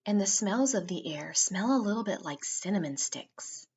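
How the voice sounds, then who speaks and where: A woman narrating a guided meditation for children in a soothing, unhurried way with drawn-out phrasing. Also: mid-range voice, lively intonation, a little mellow, slightly rough, dull audio.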